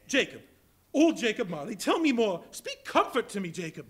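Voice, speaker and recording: Casting: man as cantankerous old miser for stage drama; high, theatrical, widely swinging delivery. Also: sing-song, normal speed, a bit bright. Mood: terrified, pleading. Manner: theatrical, exclamatory. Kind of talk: live stage acting. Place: live on a college hall stage before an audience.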